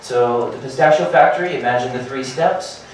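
A man in his thirties presents his startup to a seated meetup crowd, hurrying and stumbling over fillers and repeated words. His voice sits at an ordinary middle pitch. The recording is picked up from across a very reverberant room, with faint room noise behind. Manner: hurried, filler-heavy, restarts and repeats words. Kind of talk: conference talk. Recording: very echoey room from far off.

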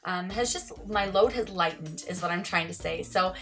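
Woman, 30s, relaxed chatty home vlogger voice-over, faint music under her. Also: on the low side, normal speed. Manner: chatty, relaxed, laughs often. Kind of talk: casual voice-over chat. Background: soft music underneath.

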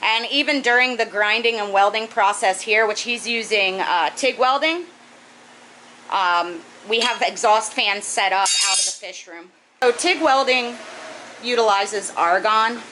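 A woman's voice: fairly high pitch, unhurried, pushed and bright, slight background noise, poor audio. A woman in her thirties casually explaining a project step by step in her basement fish room, with a few fillers. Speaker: aquarium hobbyist vlogger.

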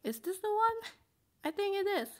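A woman's voice: very high-pitched, sing-song, moderate pace. A woman reading a comic aloud as an amateur dubber, with informal, self-conscious, apologetic asides.

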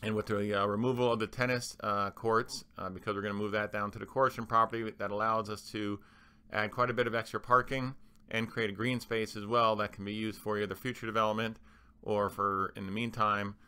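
Guy doing a slideshow voiceover, lowish bright clear voice, kinda halting as he explains stuff. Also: level tone. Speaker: club manager presenting renovation plans. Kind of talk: slide presentation voice-over.

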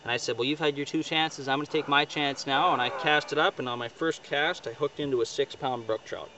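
A man's voice: mid-range voice, a little fast. A young man in a boat on a lake outdoors, chatting casually to the camera about his catch, upbeat and full of fillers. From northern Ontario. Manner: chatty, upbeat, fillers like right and you know. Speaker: fishing guide showing a catch. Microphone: camera held by a companion a few feet away. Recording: distant outdoor camera audio with noise.

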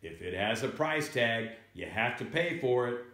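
A man's voice: mid-range voice, expressive, measured pace, fairly bright tone, reverberant. A man voicing a stubborn, matter-of-fact male cashier in a children's story. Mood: insistent.

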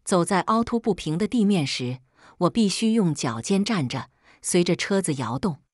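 A woman's voice: lowish voice, expressive, normal speed, no room sound, clean studio sound. A woman narrating a first-person story from a script as an audiobook reader.

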